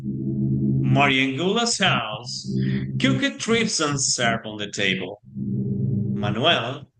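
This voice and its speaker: A man who is not a native English speaker narrates a horror story theatrically, in halting phrasing. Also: medium pitch, sing-song. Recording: loud music behind the voice.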